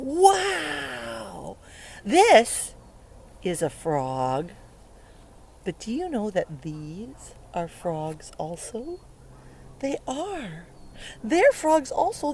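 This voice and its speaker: Woman reading to children, very animated pitch. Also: a little hiss or hum. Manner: exaggerated wonder, simple questions to the listener, playful sound words. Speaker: early learning educator reading a picture book aloud. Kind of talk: interactive read-aloud for young children. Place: outdoors beside a lake.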